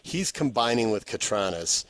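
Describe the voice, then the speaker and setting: A man's voice: fairly low pitch, big pitch swings, moderate pace, a bit bright. An American man working as a sports radio host, interviewing guests and reading promos. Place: radio studio.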